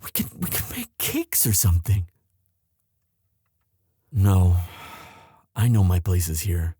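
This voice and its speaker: Husky, expressive young prince acted by a man. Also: sits low, dry recording, clean studio sound, exaggerated voice. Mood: conflicted, earnest. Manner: earnest, halting, tender delivery. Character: anxious, protective young crown prince in love. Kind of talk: romantic roleplay audio drama.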